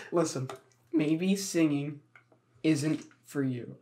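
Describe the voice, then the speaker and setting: A young man co-hosting a podcast in a laid-back, playful way, quick to laugh. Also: a little high, dark tone. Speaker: podcast co-host. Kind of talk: casual chat.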